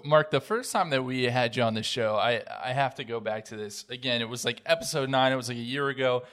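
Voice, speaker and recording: A man's voice: mid-range voice, fairly bright tone. A man with a mid-range voice interviews a guest on a podcast. He speaks casually and conversationally, like an everyday chat among fans.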